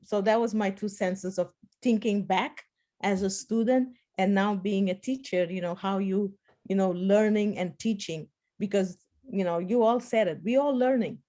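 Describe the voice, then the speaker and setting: Portuguese-born woman with a mellow voice. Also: medium pitch, moderate pace. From Portugal and Spain. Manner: animated, laughs while telling a story. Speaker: political science professor. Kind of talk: workshop discussion over video call. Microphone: video call.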